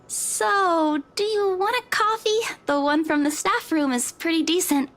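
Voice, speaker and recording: An actress playing a chatty, eager young estate agent in a horror visual novel, youthful and informal in manner. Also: female voice, very high voice, unhurried, a bit bright. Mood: friendly, insistent.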